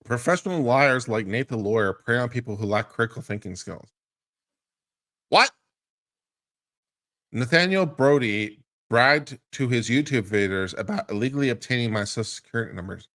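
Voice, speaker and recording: A man's voice: medium pitch, lively intonation, moderate pace, a bit bright. A man giving a dramatic reading of a lawsuit, mixing formal reading with casual, profane, incredulous commentary. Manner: profane, incredulous asides between formal read passages. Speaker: legal commentator.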